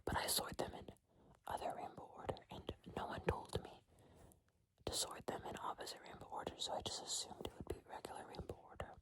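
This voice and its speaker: A woman speaking in a breathy, unvoiced whisper, rambling informally and haltingly in an ASMR style.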